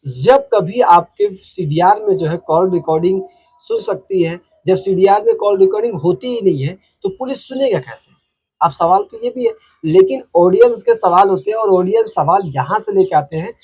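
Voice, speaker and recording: A man with an Indian accent speaks in a high voice with a husky, unclear edge. He explains things informally to viewers as a host, stressing and repeating his points with plenty of filler phrases. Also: a little mellow, poor audio. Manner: repetitive, emphatic explaining with frequent filler phrases. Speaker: host of a legal explainer channel.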